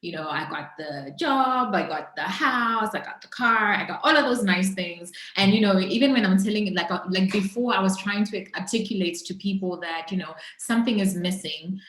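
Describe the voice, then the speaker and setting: A woman with a Zambian accent telling her personal story as an interview guest, in a reflective, halting way with pauses mid-thought. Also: mid-range voice, a bit bright. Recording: roomy, echoing sound.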